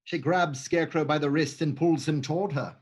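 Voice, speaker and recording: A man's voice: a little high, steady tone, a little fast, darkish tone, husky. A man reading stage directions aloud in a livestream script reading, matter-of-fact.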